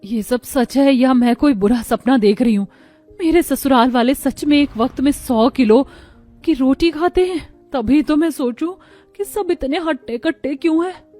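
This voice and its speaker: A woman's voice: sits high, moderate pace, dark tone, faint music behind, natural voice. A woman voicing a young, breezy, work-shy daughter and new bride in a cartoon. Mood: astonished.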